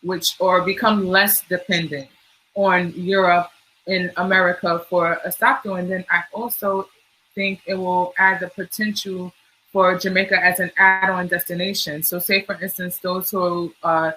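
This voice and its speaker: A woman speaking as a panelist in a casual discussion, in a measured, thoughtful way. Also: fairly low pitch, little pitch movement, pushed and bright, low-quality recording.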